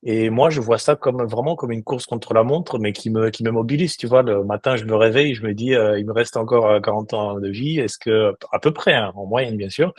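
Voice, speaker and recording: Un homme d'une quarantaine d'années, invité d'un podcast, qui parle sérieusement avec beaucoup d'hésitations et de reprises. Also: male voice, lowish voice, fairly quick, few pauses, no room sound. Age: 40s. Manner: earnest, many restarts and hesitations. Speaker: guest. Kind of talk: casual interview talk.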